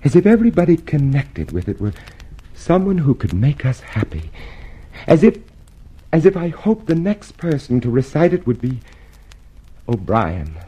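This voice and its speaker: Radio drama casting: an earnest, haunted rebel, mid-pitched, husky, intense and hesitant. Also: male voice, wildly swooping pitch, moderate pace, long pauses, mellow, rough recording. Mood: wistful, confiding. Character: haunted, earnest male rebel yearning for human hope.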